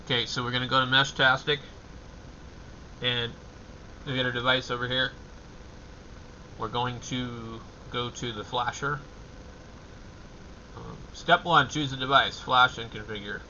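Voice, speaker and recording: A man hosting a casual livestream walkthrough, thinking aloud in halting, fragmented short phrases. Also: mid-range voice, fairly even intonation, sharp tone, lo-fi sound, slight background noise. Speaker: livestream host.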